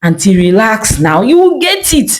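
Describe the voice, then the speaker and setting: Casting: female narrator as a young seamstress, stubborn and dismissive, very expressive pitch, folktale storytelling. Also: moderate pace, slight background noise, close to an everyday voice. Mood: breezy, casual. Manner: casual, dismissive reassurances. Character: laid-back, stubborn young tailor woman brushing everyone off. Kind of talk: storytelling character voice.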